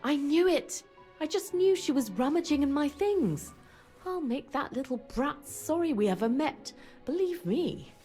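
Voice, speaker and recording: A woman's voice: high-pitched, a little slow. With music faintly behind her, a chatty 1930s woman filmmaker in a video game. Her delivery swings expressively, breezy and bossy with a patronising streak. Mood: indignant. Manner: chatty, condescending endearments, expressive. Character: breezy, bossy, suspicious female filmmaker in 1930s mountain refuge.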